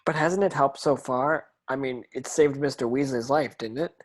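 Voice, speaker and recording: A man plays a teenage wizard schoolboy in a fantasy script read. His voice is medium in pitch, earnest and unsure, with a hesitant, questioning way of speaking. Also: normal speed, lightly acted. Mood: anxious curiosity.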